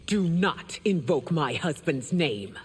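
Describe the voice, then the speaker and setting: Casting: haughty game warrior queen, slow and imperious, over heavy noise and clicks. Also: low voice, lively intonation. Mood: stern, indignant. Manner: haughty, commanding, theatrical. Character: proud, imperious warrior queen with mocking laughter. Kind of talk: video game character dialogue.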